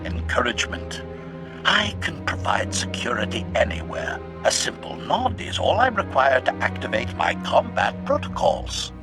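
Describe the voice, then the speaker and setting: A man's voice: mid-range voice, huge pitch swings, moderate pace, creaky, music in the background. A man voicing a cold, calculating, loyal assassin droid in a video game, with clipped, formal delivery. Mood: eager, servile. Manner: clipped, formal, announces each statement with a one-word label. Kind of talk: scripted video game dialogue.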